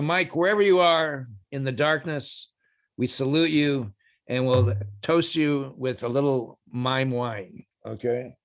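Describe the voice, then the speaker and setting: A man hosting a podcast, giving a playful, measured introduction of his guest. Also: medium pitch. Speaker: podcast host.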